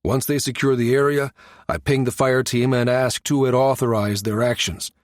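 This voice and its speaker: Man acting a firm, measured security chief. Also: mid-range voice, steady tone, dry recording, clean studio sound, close to an everyday voice. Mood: measured, conceding. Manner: measured, matter-of-fact, authoritative. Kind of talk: audiobook character dialogue.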